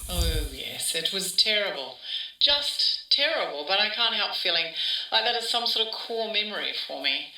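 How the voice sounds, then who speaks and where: A woman's voice: medium pitch, sing-song, normal speed, sharp tone, exaggerated voice. A woman playing an older woman who rambles wistfully through childhood memories in a conversational, interview-style podcast drama. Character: wistful older Australian woman reminiscing about her small-town childhood. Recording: roomy echo, distant from mic.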